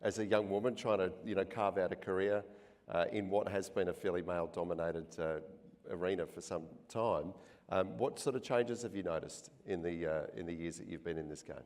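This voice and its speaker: A man hosting an on-stage interview, asking questions in a relaxed, conversational way with many fillers. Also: sits low, brisk. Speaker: event host interviewer. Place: large function hall. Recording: long hall reverb.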